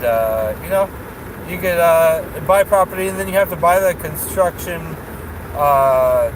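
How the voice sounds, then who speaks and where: Rambling man vlogging from his car. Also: fairly high pitch. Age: 30s. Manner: meandering, many fillers and restarts, chuckles often. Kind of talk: rambling casual vlog. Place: parked car at night. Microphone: phone camera on dashboard. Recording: noisy car interior.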